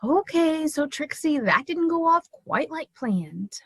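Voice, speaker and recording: A woman's voice: sits high, very animated, takes it slowly, fairly bright tone, dry recording, clean studio sound, exaggerated voice. A woman playing an eager, attention-seeking costume and makeup artist in an amateur theater comedy, perky and theatrical. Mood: flustered. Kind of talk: comedic web series acting.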